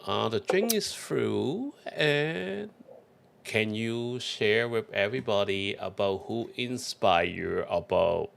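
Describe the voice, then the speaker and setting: A chatty male host with a low, gravelly voice leads a casual interview with enthusiasm, filling pauses with lots of ums and uhs. His low pitch and heavy vocal fry stand out. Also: expressive, a bit bright. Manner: chatty, enthusiastic, uses many fillers. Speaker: chef, podcast host.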